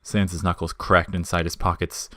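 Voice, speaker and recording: Deep-voiced male story narrator. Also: level tone, normal speed, sharp tone, dry recording, clean studio sound. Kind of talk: scripted dramatic story narration.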